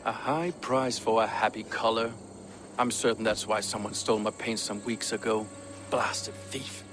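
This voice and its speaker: An actor playing a proud, ambitious but loyal Viking shipbuilder, speaking in a measured, earnest way for a video game. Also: male voice, on the low side, steady tone, background noise, close to an everyday voice. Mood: fond, measured. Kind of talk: dramatic video game cutscene dialogue.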